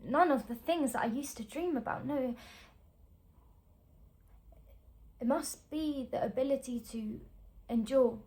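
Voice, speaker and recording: A woman performing a naturalistic dramatic monologue as a weary, fragile young actress who is wounded but striving for faith, speaking in halting, broken phrases with long pauses. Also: high-pitched, a little mellow, lightly acted. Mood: earnest resolve. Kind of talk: naturalistic screen-acting stage monologue.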